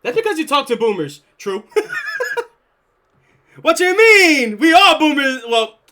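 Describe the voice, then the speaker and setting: A young man streaming, reacting casually to a video, excitable and swearing freely. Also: very high-pitched, slow, a little hiss or hum. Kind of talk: live reaction stream chat.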